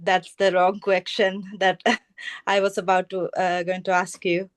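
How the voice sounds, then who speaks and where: A woman student on a video call, asking a teacher a question in a shy, halting way. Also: lowish voice, level tone, clear, resonant tone.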